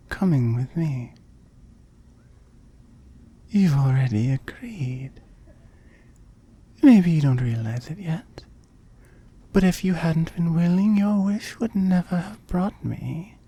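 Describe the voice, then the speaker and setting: A woman's voice: fairly low pitch, warm tone, husky, full character voice. A woman playing a seductive, possessive immortal oni demon in an ASMR roleplay, often whispering, teasing and commanding. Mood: smug, possessive.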